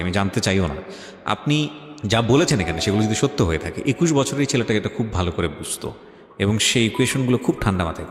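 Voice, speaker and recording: Fast-talking Bangladeshi male host, echoey. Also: lowish voice. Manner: emphatic, insistent, argumentative, code-switches into English. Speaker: radio show host. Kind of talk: talk show interview, advising. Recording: echoey hall reverb, faint music. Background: faint background music.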